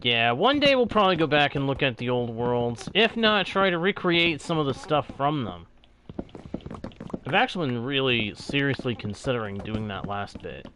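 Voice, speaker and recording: A man's voice: mid-range voice, very animated, normal speed, pushed and bright, a little hiss or hum. A man hosting a gaming stream, thinking aloud in a rambling, casual way, repeating himself and using mild folksy exclamations. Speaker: game streamer hosting a let's play.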